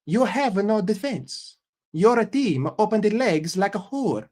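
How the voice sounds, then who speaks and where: A man's voice: sits high, big pitch swings, a little mellow, voice pushed up, exaggerated voice. A man doing a vulgar mock-Italian pundit. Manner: slow, swaggering, vulgar insults.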